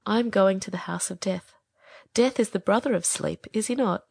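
Dying male swallow as a woman reads, husky hush, gravelly, storybook bird. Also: fairly low pitch, quick pace, no echo, voice pushed down, full character voice. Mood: faint, tender. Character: dying little male swallow, tender and weak. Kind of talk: storybook reading.

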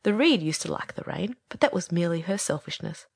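A woman voicing a small, vain, impatient male swallow from a storybook, brisk and opinionated. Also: on the low side, lively intonation, creaky, breathy edge, no room sound, clean studio sound, voice pushed down, full character voice. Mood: complaining. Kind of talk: storybook character reading.

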